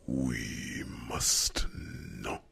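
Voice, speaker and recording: A man voicing a gentle, wise, protective dragon in an ASMR fantasy roleplay, speaking deliberately in a gravelly, breathy half-whisper with musing hums. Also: a little hiss or hum. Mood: soothing, reassuring.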